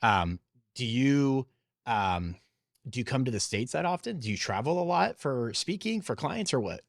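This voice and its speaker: Man, podcast host, mid-pitched, informal, curious, dry recording. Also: very animated, moderate pace, gravelly, dry recording. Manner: informal, curious, asks follow-up questions. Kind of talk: casual chat, interviewing.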